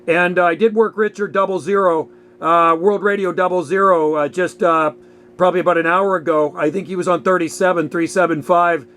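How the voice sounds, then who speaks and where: A man's voice: high voice, fairly even intonation, a little fast, fairly bright tone. A man chatting casually and easily as a radio operator making a contact, sometimes repeating himself. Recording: faint background noise and hum.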